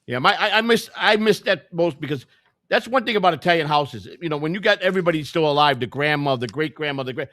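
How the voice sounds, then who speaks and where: A middle-aged man with a Chicago accent co-hosting a casual livestream chat, halting and repeating himself as he talks. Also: on the high side, expressive, fairly bright tone, no echo, clean studio sound. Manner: halting, repeats words and restarts often. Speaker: co-host.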